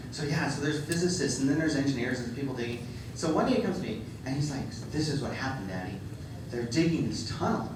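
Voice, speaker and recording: A middle-aged man giving a humorous conference talk, self-deprecating and full of ums. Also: a little high. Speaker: conference speaker. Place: large hall with audience. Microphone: handheld microphone. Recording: echoey hall, distant mic, background noise.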